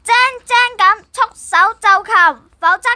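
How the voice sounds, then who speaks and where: A boastful, righteous stage general, acted by a woman, very high and bright in voice. The delivery is heroic and declamatory, with faint music behind. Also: moderate pace, full character voice. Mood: triumphant, threatening. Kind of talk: stage play acting.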